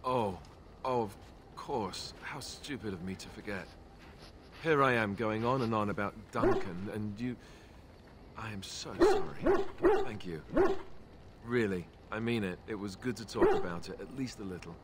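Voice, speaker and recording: An actor playing an earnest, awkward young warrior companion in a fantasy video game, hesitant and sincere. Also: male voice, lowish voice, very animated, a little slow, long pauses, very noisy. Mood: subdued, sincere.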